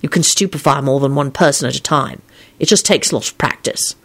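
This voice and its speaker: A haunted young wizard from a storybook, played by a woman reader, grim and earnest. He sounds stubborn and protective, in a fairly low register for a female voice. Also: expressive, moderate pace, husky, full character voice. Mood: patient, firm.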